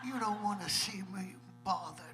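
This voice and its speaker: A man's voice: high voice, normal speed, lightly acted. A man voicing a cuddly, honey-loving cartoon bear who plays a cocky superhero in a live comic radio play. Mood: cocky, warning. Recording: live convention stage, music behind.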